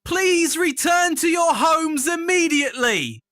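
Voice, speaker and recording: A woman's voice: extremely high pitch, lively intonation, takes it slowly, no room sound, studio quality, voice pushed up, full character voice. A woman voicing an angry, barking British man, a guard or mob citizen, in an audiobook drama. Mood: stern alarm. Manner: forceful, barking.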